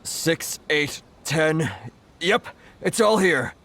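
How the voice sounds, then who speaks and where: Grateful merchant man, stammering, lively pitch, game character. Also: very slow, background noise, heavily acted. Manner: earnest, stammering. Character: young male merchant, grateful and flustered. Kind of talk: video game voice acting.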